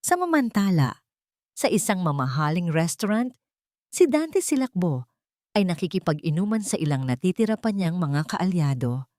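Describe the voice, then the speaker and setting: Filipino lady reading a dramatic audiobook, lower voice, really dry close sound. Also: very animated, moderate pace, darkish tone, no room sound. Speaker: audiobook narrator. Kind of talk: dramatic scripted story narration.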